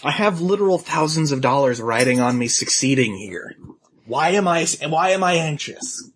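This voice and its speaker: Young male podcast co-host, husky voice, lively pitch, loud joking banter. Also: moderate pace. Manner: joking, loud riffing with laughs. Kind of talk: casual chat.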